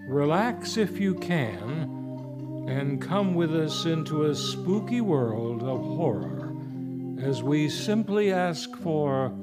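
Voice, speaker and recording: A man playing a sardonic radio show announcer, speaking in a measured, theatrical, scripted style. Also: a little high. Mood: theatrical welcome. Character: exasperated, dryly sarcastic older radio show announcer. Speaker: host.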